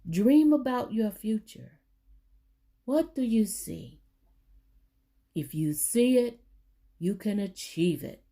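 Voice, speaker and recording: A woman reading a children's book aloud, with deliberate, emphatic phrasing for young listeners. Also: mid-range voice, expressive, warm tone. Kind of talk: storybook read-aloud for children.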